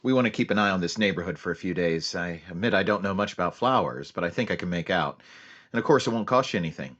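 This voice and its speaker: An actor playing a terse, businesslike police sergeant who narrates the case in a clipped, matter-of-fact 1940s radio drama style. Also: male voice, low-pitched, lively intonation, fast talker, a bit bright, breathy edge, no room sound, full character voice. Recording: dull lo-fi radio sound with music bed.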